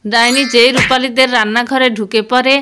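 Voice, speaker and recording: A woman's voice: on the high side, fairly even intonation, brisk, music in the background. A woman giving scripted storybook narration for a cartoon.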